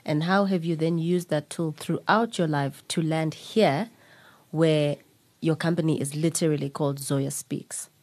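A woman's voice: low voice, fairly even intonation, measured pace, dry recording. A South African woman hosting an interview, asking probing questions in a conversational way. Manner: warm, probing questions, mixes in Xhosa phrases. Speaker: podcast host.

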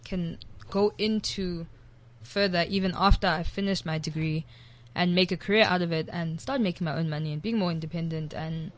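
A young woman from South Africa, a guest DJ chatting casually in an interview, animated and full of "like". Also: lowish voice, normal speed.

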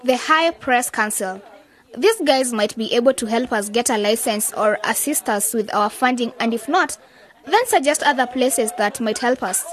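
A young woman student in a radio drama, played by an actress, with a high voice. She is level-headed and persuasive, reasoning with her friends in scripted dialogue. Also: expressive, moderate pace, natural voice. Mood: earnest, persuasive. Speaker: actor in an educational radio drama.

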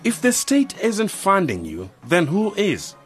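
Pompous, high-voiced government official. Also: male voice, wildly swooping pitch, measured pace, faint music behind, heavily acted. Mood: suspicious. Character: pompous, self-important male government rural services official. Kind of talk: radio drama acting.

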